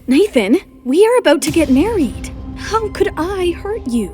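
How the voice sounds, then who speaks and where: A woman voicing a wronged young model, outwardly sweet and quietly defiant, in an audio drama. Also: extremely high pitch, very animated, measured pace, voice pushed up, exaggerated voice. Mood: feigned innocence. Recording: music behind the voice.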